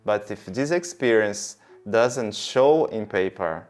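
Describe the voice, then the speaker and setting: A man's voice: lowish voice, lively intonation, takes it slowly. A man in his thirties from Brazil, giving long, earnest interview answers with many fillers. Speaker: guest.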